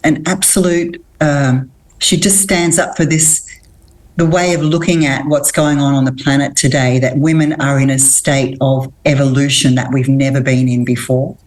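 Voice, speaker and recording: Low-voiced middle-aged Australian woman. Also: flat delivery, darkish tone. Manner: thoughtful, earnest, pauses and restarts. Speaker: guest, women's coach. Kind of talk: reflective interview answers.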